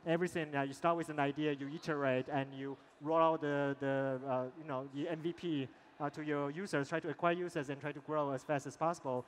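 Relaxed middle-aged man moderating on stage. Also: a little high, fairly quick, slight background noise. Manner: relaxed, chuckling, many fillers. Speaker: moderator, investor. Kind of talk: panel fireside chat. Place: large conference stage. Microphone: headset mic. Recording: long hall reverb, faint ambience.

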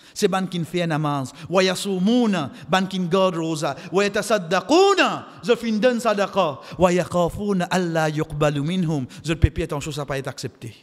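Male preacher, Mauritian Creole accent, high-pitched, impassioned and exhortative, stand mic in a reverberant mosque. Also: fairly bright tone. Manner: impassioned, exhortative, repeating phrases for emphasis. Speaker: preacher giving a Friday khutbah. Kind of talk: sermon with Arabic quotations. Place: mosque, indoors at the pulpit. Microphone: microphone on a stand, close. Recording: echoey hall with long reverb.

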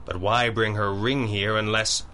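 A man's voice: lowish voice, level tone, a little slow, bright, forceful tone, a little hiss or hum. An actor playing a determined masked vigilante hero in a 1930s-style radio drama, narrating his own actions with melodramatic urgency. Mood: wary.

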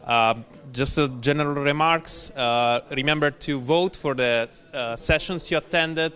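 A man speaking as a session chair, giving plain, unscripted announcements in a halting way in a conference room. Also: a little high, a bit bright.

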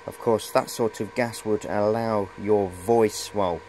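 A man's voice: fairly low pitch, steady tone, takes it slowly, darkish tone, a little hiss or hum. A middle-aged man giving a casual, hesitant, rambling show-and-tell on a handheld camera in a cluttered workshop. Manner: rambling, hesitant, repeats "of course". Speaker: hobbyist presenter.

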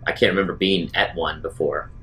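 A man improvising as a player in a tabletop role-playing game, talking casually in a measured, halting way. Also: low-pitched, fairly even intonation, bright, forceful tone, a little hiss or hum, rough recording.

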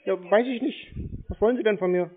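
A man's voice: sits high, brisk. A man being obstinate and querulous in an argumentative phone conversation. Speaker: scam-baiting call recipient. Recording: narrow, tinny phone sound.

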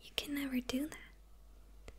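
A woman's voice: sits high, little pitch movement, fairly bright tone. Woman whispering as a gloating demon villainess. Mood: smug teasing. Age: young adult. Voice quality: breathy whisper.

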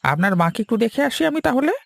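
A man's voice: very high voice, big pitch swings, quick pace, gravelly, a bit bright, dry recording, heavily acted. A man voicing an ingratiating, presumptuous visiting doctor in a Bengali village cartoon, fawning and over-familiar.

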